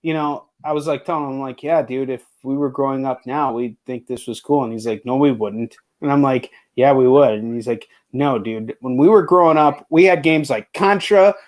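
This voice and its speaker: A man's voice: medium pitch, moderate pace, few pauses, darkish tone. A man hosting a casual livestream chat, talking in a rambling, slangy way and swearing freely. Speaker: livestream host and indie comic creator.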